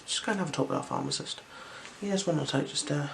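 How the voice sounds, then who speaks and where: A gentle, unhurried ASMR performer speaking softly and slipping into whispers while role-playing an eye examiner. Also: mid-range voice. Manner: gentle, unhurried, soothing instructions. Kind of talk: ASMR role-play, soft-spoken with whispers. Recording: clear background noise.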